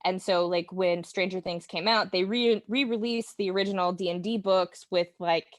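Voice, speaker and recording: A woman's voice: fairly low pitch, fairly even intonation, normal speed, dry recording, clean studio sound. A woman speaking casually as a guest on a podcast. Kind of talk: casual chat.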